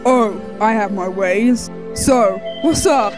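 A young cartoon lion raised for vengeance played by a woman in a fan dub. The voice is medium in pitch and takes its time, with music clearly underneath. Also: slow pace, darkish tone, close to an everyday voice. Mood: casual, evasive. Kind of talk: animated fan dub acting.

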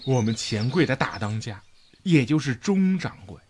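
An actor playing a whiny, boastful second-in-command shopkeeper in a comic radio play, with melodramatic, drawn-out wailing. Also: male voice, mid-range voice, lively intonation, heavy background noise, lightly acted. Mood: aggrieved, boastful. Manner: melodramatic, sing-song wailing with drawn-out words. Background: music behind the voice.

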